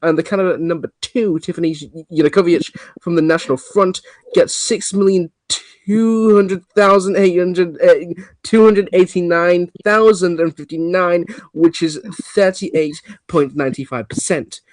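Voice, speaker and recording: A formal election official, acted by a man in an amateur play reading. The voice is very high for a man and close and dry, with halting delivery that trips on figures and names. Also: no room sound. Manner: halting, stumbles over numbers and names. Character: formal election commissioner announcing results. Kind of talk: amateur table read of a play.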